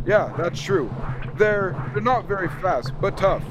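Man as eager high-voiced space hero, noisy recording. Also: animated, measured pace. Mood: brisk. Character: eager, impatient male space adventurer hero, brushing off fuss. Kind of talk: old-time radio drama acting. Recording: clearly noisy background ambience.

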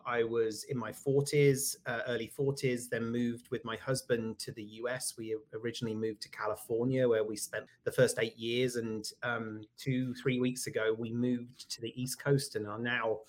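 A man in his forties with a British accent, chatting as a guest in a relaxed interview. He talks in a reflective, self-deprecating way, pausing on ums. His voice sits at an average pitch. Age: 40s. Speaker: guest, executive coach. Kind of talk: casual interview conversation.

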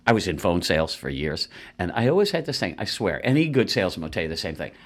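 Animated, rambling middle-aged man. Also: low-pitched, quick pace. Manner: animated, rambling, many asides and restarts. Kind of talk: casual conversational storytelling.

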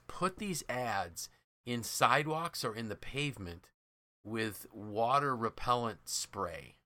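Bright-voiced American man, podcast co-host. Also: medium pitch. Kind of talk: casual business podcast discussion.

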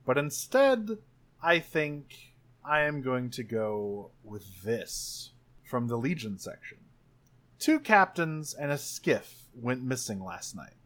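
A man's voice: medium pitch, big pitch swings, takes it slowly, fairly bright tone. Playful man improvising a tabletop game. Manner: playful, joking. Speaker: tabletop game player and podcaster. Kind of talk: casual improvised tabletop role-play chat.

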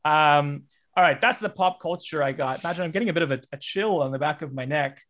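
A man's voice: on the high side, fast talker, fairly bright tone. A man hosting a casual comedy podcast, talking in a hesitant, rambling way as he interviews. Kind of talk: casual improvised comedy chat.

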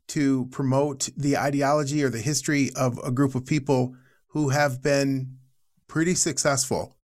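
A man hosting a podcast, talking earnestly in a loose, rambling way and laughing often. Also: medium pitch. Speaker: podcast host. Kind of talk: casual podcast conversation.

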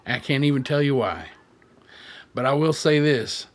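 An actor playing a gruff, cynical militia man in a radio play, blunt, plain-spoken and foul-mouthed. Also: mid-range voice, lively intonation, moderate pace, close to an everyday voice. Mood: frank.

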